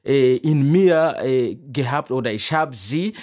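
A man's voice: mid-range voice, lively intonation, measured pace, a little mellow. A man from Burkina Faso with a language from there, talking reflectively and searching for words as an interview guest.

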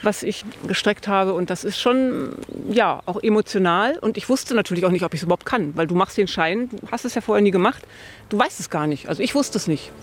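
A woman in her fifties talks in a relaxed, thoughtful way about hunting, full of little fillers. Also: mid-range voice, fairly quick, a little hiss or hum. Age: 50s. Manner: thoughtful, lots of fillers like tatsächlich and also. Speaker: hunter interviewed about her hobby. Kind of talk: relaxed conversational storytelling. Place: outdoors by a field.

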